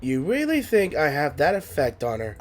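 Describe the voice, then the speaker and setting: A man's voice: fairly high pitch, wildly swooping pitch, moderate pace. A man doing casual let's play commentary and reading along, with wry, joking asides. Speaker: gaming video creator. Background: game music.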